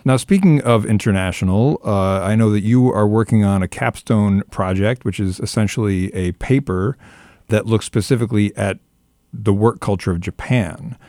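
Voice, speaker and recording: A man's voice: lowish voice, normal speed, darkish tone, no echo, clean studio sound. A man interviewing a student, encouraging, often restating answers with you know and right. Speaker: interviewer, faculty host. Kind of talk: conversational interview.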